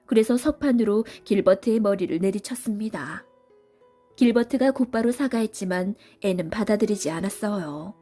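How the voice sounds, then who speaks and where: A woman's voice: medium pitch, moderate pace, dark tone, faint music behind. A woman reading scripted narration for a children's story as a storybook narrator.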